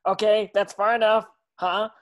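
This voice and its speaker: A man voicing a cheerful, naive cartoon sea sponge in a table reading, chattering excitably. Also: high-pitched, moderate pace, lightly acted. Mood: excited.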